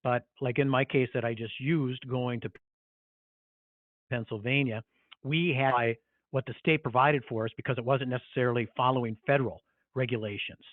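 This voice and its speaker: A man's voice: mid-range voice, fairly even intonation, moderate pace, no room sound. Tinny phone-line man presenting informally. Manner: hesitant, rambling, conversational with frequent "you know". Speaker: HR conference call presenter. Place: remote conference call.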